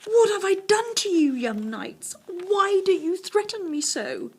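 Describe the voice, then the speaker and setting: A woman's voice: very high-pitched, sing-song, unhurried, a little mellow, voice pushed up, full character voice. A woman voicing an ancient, self-pitying male dragon from a storybook, quavering and plaintive, with grand old-fashioned speech. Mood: tearful, wounded. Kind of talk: storybook character reading.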